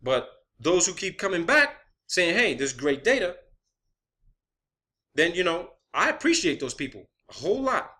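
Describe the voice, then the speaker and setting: A man's voice: high-pitched, very animated, moderate pace, bright, forceful tone. A man delivering an unscripted, profane rant in a blunt, emphatic manner, often repeating phrases for emphasis, as an online video creator.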